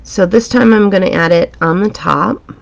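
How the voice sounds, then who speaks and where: A woman teaching a drawing pattern step by step in a calm, chatty, reassuring way, her voice a little raspy. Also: medium pitch, normal speed, muffled recording. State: slightly raspy from a dusty room. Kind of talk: relaxed step-by-step drawing tutorial. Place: home art studio, indoors.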